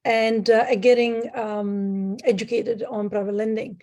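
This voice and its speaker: A woman from Sicily, Italy, speaks as a guest over a remote call. Her voice sits slightly high. She talks casually and stops often to hunt for words, filling the gaps with ums and uhs. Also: level tone, unhurried, mellow. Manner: hesitant with many fillers, plain speech. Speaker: guest, private lender. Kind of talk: casual interview.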